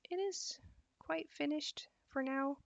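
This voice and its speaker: A woman's voice: high voice, takes it slowly, gravelly, no room sound. A young Dutch woman teaching drawing on a live stream, tired late at night, answering chat as she works. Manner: relaxed, reads and answers chat questions while drawing. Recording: dull, dry sound.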